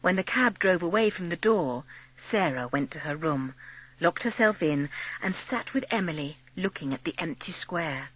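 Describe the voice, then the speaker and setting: Expressive woman narrator, slightly low voice. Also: normal speed, poor audio. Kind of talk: scripted storybook narration. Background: music.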